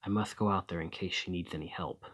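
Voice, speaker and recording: A man playing a desperate, weary immortal gentleman vampire in an ASMR roleplay, speaking formally and courteously in a breathy, husky voice that often drops to a whisper. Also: low voice, steady tone, fast. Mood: urgent, cautious. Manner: formal, courtly, old-fashioned phrasing.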